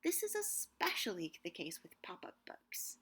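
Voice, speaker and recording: A young woman giving a scripted, measured talk on Shakespeare and books, slowing down to savor quoted lines. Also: fairly low pitch, wildly swooping pitch, fairly bright tone, breathy edge. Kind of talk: scripted educational narration.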